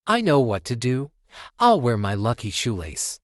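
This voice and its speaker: A man's voice: mid-range voice, very animated, a little slow, dry recording, clean studio sound. Man voicing an eager, swooping young boy cat. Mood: eager, determined. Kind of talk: children's storybook read-aloud.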